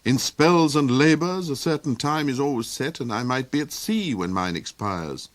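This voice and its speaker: A man's voice: mid-range voice, expressive, normal speed, lightly acted. A man voicing an earnest young prince with eager, anxious exclamations in a storybook reading.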